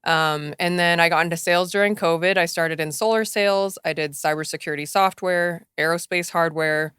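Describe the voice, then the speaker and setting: Bright clear american woman, 30s, chatty podcast guest, laughs a lot, dry studio sound. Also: on the low side, steady tone, no room sound. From Los Angeles, US. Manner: upbeat, laughs often, lists things quickly. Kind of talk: casual interview chat.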